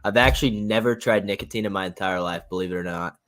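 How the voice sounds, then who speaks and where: A young man with a raspy voice co-hosting a casual sports betting livestream, laid-back and agreeable. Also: deep voice, fast, fairly bright tone. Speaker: sports betting stream co-host.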